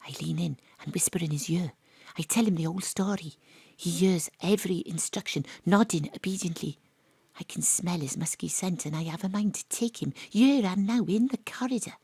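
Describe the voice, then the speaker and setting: A woman's voice: lowish voice, normal speed, vocal fry, darkish tone, slightly rough, no echo. Wry woman detective narrator, dry close sound. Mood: conspiratorial. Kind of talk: audio drama first-person narration.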